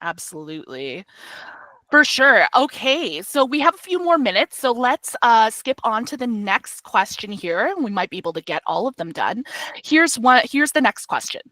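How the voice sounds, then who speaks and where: A woman hosting a Q&A as a career coach over a video call, chatting casually and filling her pauses with "you know" and "um". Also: a little high, big pitch swings, pushed and bright, no room sound, rough recording. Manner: frequent "you know" and "um" fillers.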